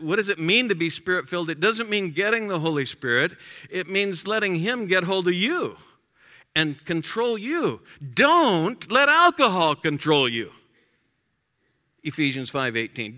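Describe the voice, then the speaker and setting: A man preaching a sermon in an emphatic way, his voice rising to loud exclamations. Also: high-pitched, long reverb tail.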